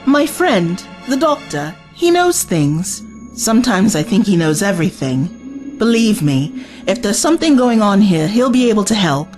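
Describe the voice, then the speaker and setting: Anxious, animated young-heroine type played by a woman. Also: mid-range voice, moderate pace, soft background music, natural voice. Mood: earnest, persuasive. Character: earnest, anxious female civilian companion in a war zone. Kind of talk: audio drama acting.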